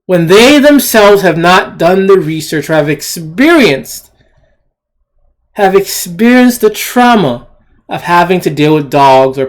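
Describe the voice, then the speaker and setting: This is an opinionated video commentator speaking off the cuff at a slow pace. The delivery is halting and emphatic, often circling back to repeat a point. The tone is blunt, indignant and disdainful. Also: medium pitch.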